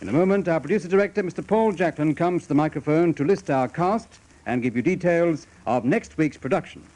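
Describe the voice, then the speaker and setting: A man's voice: on the high side, a little fast, a little mellow, poor audio. A man announcing formally for a 1950s radio theatre broadcast. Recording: vintage radio broadcast with music.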